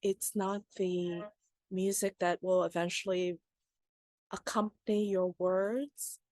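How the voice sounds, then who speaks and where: A woman from Vietnam, a writer and panel guest, speaking thoughtfully and haltingly in a conversational discussion. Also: on the low side, fairly even intonation, darkish tone, dry recording, clean studio sound.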